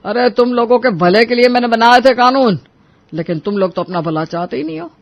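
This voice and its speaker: A man playing a stern, scolding older father-in-law in a Hindi animated moral story, indignant and domineering. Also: very high voice, brisk. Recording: dull, lo-fi audio.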